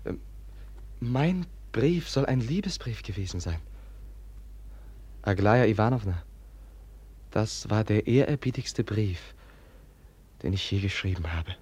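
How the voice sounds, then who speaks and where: An actor playing a meek, sincere, troubled prince in a classic German radio play, hesitant and soft-spoken, with a breathy voice. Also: male voice, on the low side, animated, slow, long pauses, heavily acted. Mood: gently puzzled.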